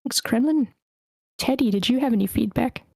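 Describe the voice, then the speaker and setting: A woman's voice: fairly high pitch, normal speed, vocal fry, darkish tone, dry recording. A woman hosting an online group feedback session, speaking as a moderator to the participants. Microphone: online voice meeting.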